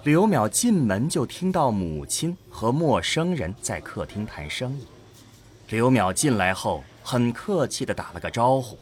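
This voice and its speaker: A man narrating a scripted audio drama as an expressive storyteller. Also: mid-range voice, unhurried.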